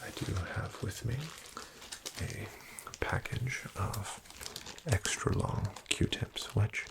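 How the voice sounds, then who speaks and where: A man whispering as a formal, dryly ironic doctor in a soft-spoken ASMR roleplay. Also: lowish voice, fairly even intonation, slow, gravelly, heavy background noise.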